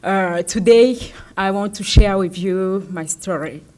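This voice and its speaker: A young woman from the Democratic Republic of Congo giving a public talk about her own story, pausing often with fillers and restarts. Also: mid-range voice, darkish tone. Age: young adult. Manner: halting, many fillers and restarts. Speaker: invited speaker.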